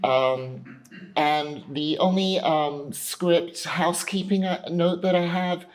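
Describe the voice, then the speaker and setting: A woman director speaks casually to a group in a rehearsal studio. She explains things in halting stretches, pausing often on fillers as she runs the table read. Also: on the low side, rough recording.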